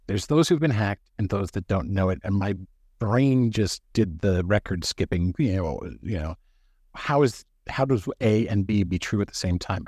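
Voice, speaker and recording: A man's voice: fairly low pitch, a little mellow, no echo, studio quality. A man speaking as a cybersecurity executive on a podcast, telling stories and explaining ideas earnestly. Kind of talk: podcast conversation.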